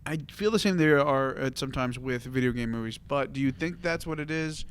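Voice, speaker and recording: A man's voice: mid-range voice, a little fast, clear, resonant tone. Blunt man chatting in a mid-range voice. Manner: blunt, informal, profane. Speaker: podcast co-host. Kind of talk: casual panel discussion.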